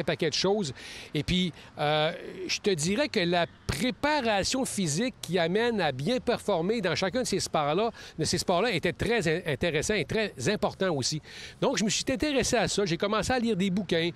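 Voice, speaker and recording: Middle-aged man, Quebec French accent, slightly high voice, earnest explanations with restarts, outdoors in a park, handheld mic. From Quebec, Saguenay-Lac-Saint-Jean. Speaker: kinesiologist guest. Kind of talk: casual interview answers. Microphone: handheld mic held close.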